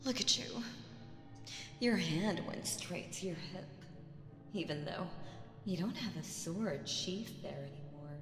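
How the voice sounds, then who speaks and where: A woman playing a seductive, imperious demon queen in an audio roleplay, teasing and condescending, with drawn-out phrasing and breathy asides. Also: lowish voice, animated. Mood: teasing, mocking. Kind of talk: slow roleplay audio drama monologue with whispers. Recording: echoey reverberant hall with music behind.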